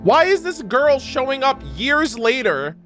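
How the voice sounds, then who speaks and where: A video game streamer giving casual, slangy, expressive reaction commentary with some swearing over game music. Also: sits high, a little slow.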